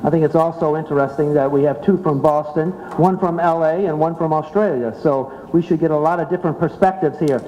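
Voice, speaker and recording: A man's voice: on the high side, steady tone, fairly quick, hardly any pauses, dark tone. Man moderating a panel in a hall. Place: conference event space. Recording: echoey hall, slightly dull, faint room noise.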